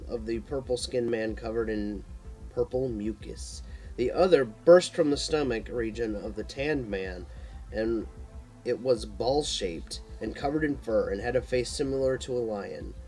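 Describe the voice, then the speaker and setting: A man's voice: mid-range voice, darkish tone, rough recording. A man reading a scripted action horror story as narrator, sometimes stumbling over words and repeating them.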